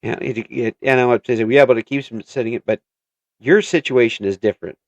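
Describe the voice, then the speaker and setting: Male interview host, middle pitch, chatty and quick to laugh, dry close recording. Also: no room sound, lo-fi sound. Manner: chatty, laughs often, trails off and restarts. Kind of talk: casual interview chat.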